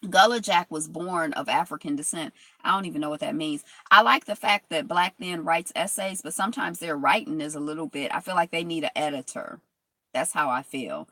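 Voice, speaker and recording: A woman's voice: lowish voice, pushed and bright, no echo. A woman vlogger reading from a history text and rambling through reflective asides, with many fillers and restarts.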